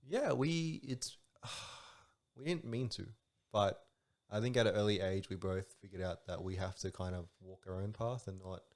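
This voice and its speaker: A man's voice: low voice, moderate pace. A man, a podcast guest chatting easily, laughing often and poking fun at himself. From Australia. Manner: easygoing, laughs often, a bit self-mocking. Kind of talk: casual chat.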